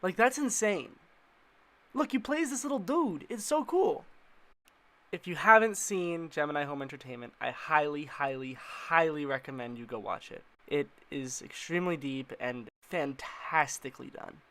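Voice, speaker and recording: A man's voice: fairly high pitch, animated, moderate pace, fairly bright tone. A man narrating a casual explainer video in an informal, slangy way, with playful asides.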